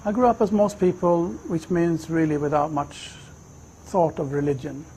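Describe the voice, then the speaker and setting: A man in his fifties from Sweden, telling his personal story in a reflective, measured way. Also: on the high side, steady tone, dark tone, a little hiss or hum, low-quality recording. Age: 50s. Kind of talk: personal testimony, unhurried storytelling.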